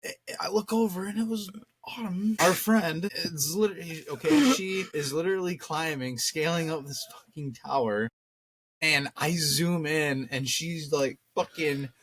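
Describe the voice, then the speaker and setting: Animated young man swapping wild stories. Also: fairly high pitch, unhurried, husky, no room sound. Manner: animated, profane slang, acts out quotes. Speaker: co-host. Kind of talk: casual storytelling chat.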